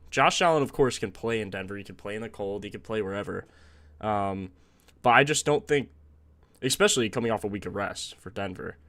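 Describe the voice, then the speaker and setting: A young man with a slightly raspy, sore-throated voice, hosting a casual live stream, thinking aloud and greeting viewers in the chat. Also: on the low side, fairly bright tone. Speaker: sports betting stream host.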